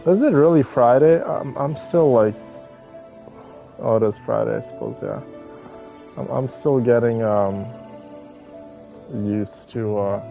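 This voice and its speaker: A man's voice: lowish voice, creaky. A man chatting casually as he livestreams a game, laid-back and affectionate with his viewers. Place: bedroom, on a bed. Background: soft game music.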